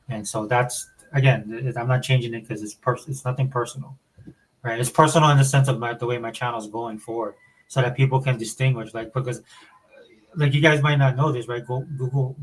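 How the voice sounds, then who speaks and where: A man's voice: mid-range voice, rough recording. A man hosting a casual podcast chat, rambling with many fillers and restarts. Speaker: podcast host.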